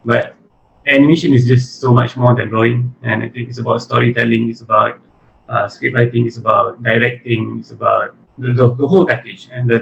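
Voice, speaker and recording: A man's voice: mid-range voice, creaky. A man from Malaysia with a Malaysian accent, chatting casually as an interview guest. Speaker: interview guest, animation studio chief executive. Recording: muffled lo-fi audio with faint noise.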